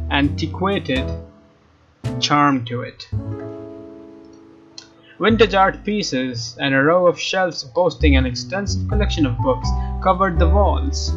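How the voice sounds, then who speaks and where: A man hosting a let's play, reading game narration aloud in a casual way and sometimes rereading phrases. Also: on the high side. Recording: slightly dull mic, game music behind. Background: game music with guitar.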